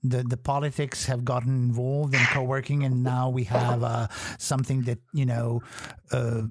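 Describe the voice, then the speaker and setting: A man's voice: mid-range voice, level tone, normal speed, heavy background noise. A man from Serbia co-hosting a podcast, talking in a hesitant, cautious way full of fillers. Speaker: podcast co-host. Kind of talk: casual podcast chat.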